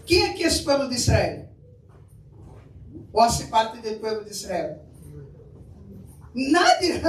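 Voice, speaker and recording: Slow-speaking Brazilian preacher in an echoey room. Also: male voice, very high-pitched. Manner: mixes Portuguese and Spanish, asks the congregation questions. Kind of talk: sermon. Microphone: far from the mic. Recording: echoey room, mic far off, faint noise.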